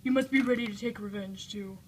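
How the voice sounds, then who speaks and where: A woman's voice: medium pitch, brisk, slight background noise, natural voice. A woman voicing the urgent, vengeful ghost of a murdered king in an amateur puppet show.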